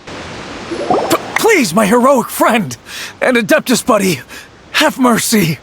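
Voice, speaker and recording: A man's voice: very high-pitched, very animated, takes it slowly, noisy background, exaggerated voice. A man playing a cocky but cowardly petty bandit in a video game, with a smug, wheedling manner. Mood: pleading.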